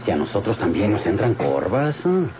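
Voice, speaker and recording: Slow rustic mexican bandit, wry n folksy, radionovela, tinny hissy old recording. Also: male voice, on the low side, sing-song. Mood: matter-of-fact. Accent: rural Mexican. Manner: folksy, broken rustic grammar with "eh" tags.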